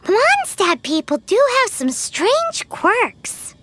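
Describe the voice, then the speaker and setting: A woman voicing a tiny, childlike, excitable and cheeky fairy companion in a video game, with a squeaky voice. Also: takes it slowly, very noisy, exaggerated voice. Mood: curious, excited. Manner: speaks of herself in the third person, exclaiming. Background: prominent playful music.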